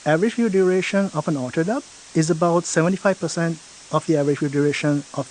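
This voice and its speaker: A man's voice: fairly high pitch, fast talker, darkish tone, a little hiss or hum, rough recording. A man in an interview explaining a product feature step by step, often checking in with "right?".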